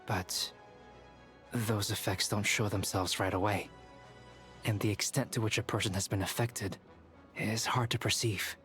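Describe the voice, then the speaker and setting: A man voicing a composed, clinical young alchemist in a video game, measured and formal. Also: lowish voice, steady tone, a bit bright. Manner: measured, matter-of-fact, formal. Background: game music.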